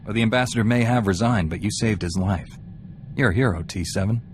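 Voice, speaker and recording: A stern young warrior hero acted by a man with a lowish voice and dry, curt delivery. It is video game cutscene acting with faint noise behind it. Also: brisk, lightly acted.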